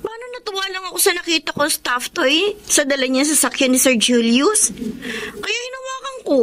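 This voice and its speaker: A woman's voice: very high-pitched, big pitch swings, brisk, fairly bright tone, slight background noise, exaggerated voice. A woman acting a sulky, sensitive college-age daughter in a radio drama. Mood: hurt, indignant.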